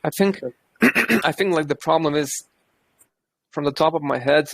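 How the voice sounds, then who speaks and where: A man's voice: fairly high pitch, normal speed, no room sound, poor audio. A man from Lithuania with a Lithuanian accent, talking in a casual, thoughtful chat and often restarting his sentences.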